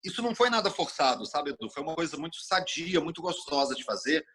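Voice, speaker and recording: Animated Brazilian journalist, husky bright voice. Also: male voice, on the high side, quick pace. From Brasília, Brazil. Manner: expansive, animated storyteller, acts out quoted speech. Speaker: TV journalist, interview guest. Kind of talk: casual interview talk.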